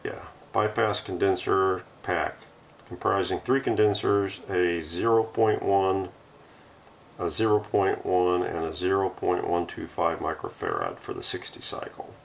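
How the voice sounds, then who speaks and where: A man with a very low, gravelly voice talks through hands-on work in a workshop. He is casual and unscripted, thinking aloud as he goes. A faint noise sits behind his voice. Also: unhurried. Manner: thinking aloud while working. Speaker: host of a radio repair vlog. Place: home workshop.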